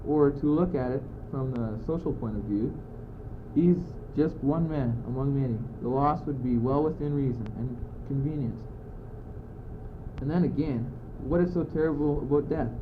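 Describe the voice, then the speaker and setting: A man's voice: mid-range voice, moderate pace, close to an everyday voice. A teenage male student actor playing a cool-headed, philosophizing young courtier in an amateur radio play, with a declamatory, argumentative delivery. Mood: reasoning coolly. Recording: muffled phone-like old tape, loud noise.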